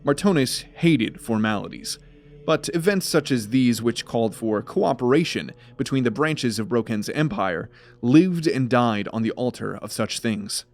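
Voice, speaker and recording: A man narrating a scripted fantasy audio drama in a measured storytelling manner. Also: mid-range voice, animated, darkish tone. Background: music.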